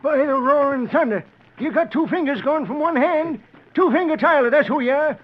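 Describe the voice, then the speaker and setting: A very high-pitched gruff, scheming bounty hunter from an old radio western. He threatens and bargains in a folksy American Western drawl. The sound is dull, lo-fi 1940s radio. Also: moderate pace. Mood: menacing.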